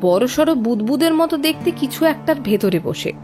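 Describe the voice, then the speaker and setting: High-voiced young woman explorer played by an actress. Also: lively intonation, a little fast, over music. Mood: intrigued, puzzled. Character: determined young female explorer probing an alien temple. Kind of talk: dramatic audio story acting.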